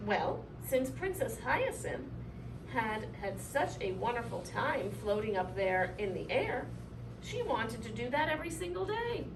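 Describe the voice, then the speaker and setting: A woman reading a children's story aloud in an expressive, animated way, drawing out key words for effect. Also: on the high side, a little slow. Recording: roomy, distant mic, clearly noisy.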